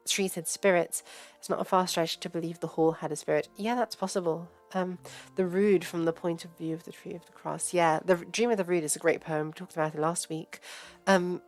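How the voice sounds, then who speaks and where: Low-pitched Englishwoman rambling over music. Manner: digressive, halting, thinking aloud. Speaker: host and scholar giving a live reading and commentary. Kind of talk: rambling informal academic commentary on a livestream. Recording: music playing behind the voice. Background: background music.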